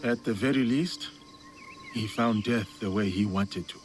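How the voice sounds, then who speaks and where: An actor playing a stern, honourable samurai warrior in a video game, speaking in a grave, deliberate manner. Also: male voice, lowish voice, faint music behind, lightly acted. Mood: grave, respectful. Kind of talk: video game cutscene acting.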